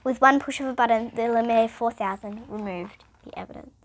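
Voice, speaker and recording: A female reader, reading a children's picture book aloud in an expressive, emphatic way. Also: fairly high pitch, quick pace, background noise.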